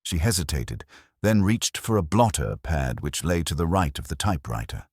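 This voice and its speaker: A man narrating a mystery story from a script in a measured, precise, literary manner. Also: extremely low pitch, sing-song, darkish tone, breathy edge, no echo, clean studio sound.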